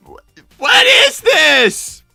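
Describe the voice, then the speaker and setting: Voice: high voice, very animated, extremely slow, gravelly, sharp tone, faint music behind, exaggerated voice. A performer voicing a flustered, defensive young male fox in improvised live roleplay, stammering and protesting in a whiny way. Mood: indignant, whiny. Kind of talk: live improvised character roleplay, reading donor messages.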